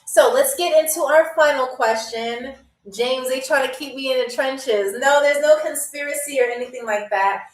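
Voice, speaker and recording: A woman's voice: high voice, moderate pace, distant mic, faint music behind. A woman from New York hosting a live-streamed trivia game show, chatting with viewers, laughing often and reading their comments aloud. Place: room in Albania. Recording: echoey room, voice a bit off-mic.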